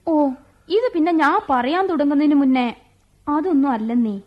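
An actress playing a coy, coaxing young wife in a radio drama. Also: female voice, high-pitched, lively intonation, normal speed. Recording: muffled radio broadcast recording.

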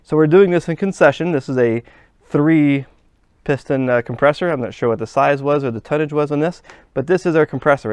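A man's voice: on the high side, darkish tone, clean tone, no echo. Man explaining hands-on in a dry room. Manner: plain, step-by-step explaining while handling parts. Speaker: HVAC instructor. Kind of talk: hands-on technical demonstration. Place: workshop bench.

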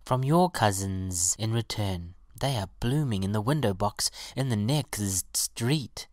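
A woman's voice: a bass voice, expressive, measured pace, a little mellow, no room sound, clean studio sound. A woman voicing a friendly, courteous male bee in a children's story, polite and eager.

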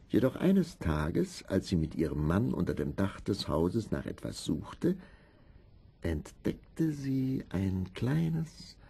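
A man narrating a scripted fairy tale in a measured, expressive storytelling manner. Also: sits low, gravelly, husky.

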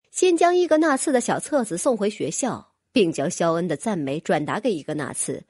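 A woman's voice: on the high side, a little mellow, husky, no room sound, studio quality. A woman narrating fantasy fiction as an audiobook reader, in a scripted, dramatic storytelling style.

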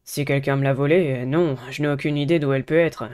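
Woman doing a clear, flat-toned teenage boy. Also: rumbling low, fairly quick, pitched below the performer's own voice. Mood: stressed. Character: stressed, earnest teenage boy student delegate.

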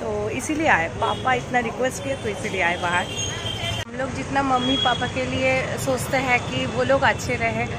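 A woman's voice: on the high side, steady tone, normal speed. A woman in her thirties chatting to her phone camera while walking a busy city street at night, tired from all the walking. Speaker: vlogger. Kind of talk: casual walking vlog. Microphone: phone held at arm's length. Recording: loud street noise and crowd. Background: traffic and crowd noise.

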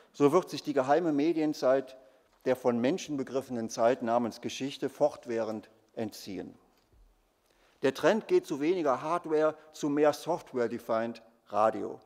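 A man in his fifties reads a formal academic lecture in a measured, emphatic way at a lectern microphone. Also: mid-range voice, vocal fry, darkish tone. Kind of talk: formal lecture read from a script. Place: large dark theatre stage at a lectern. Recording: long hall reverb.

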